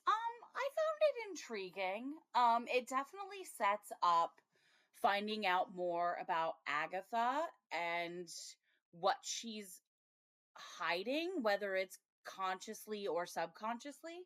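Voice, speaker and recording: A woman's voice: medium pitch, sing-song, takes it slowly, a bit bright, dry recording. A woman co-hosting a podcast, chatting casually about TV episodes, laughing often and acting out her own reactions. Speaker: podcast co-host. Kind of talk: casual TV review chat.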